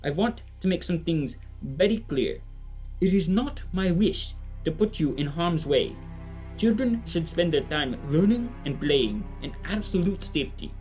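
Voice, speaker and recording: An actor playing a kindly, earnest old mentor in a family audio drama, speaking in formal, deliberate phrases. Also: medium pitch, big pitch swings, a little mellow, faint music behind, low-quality recording. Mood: earnest, measured.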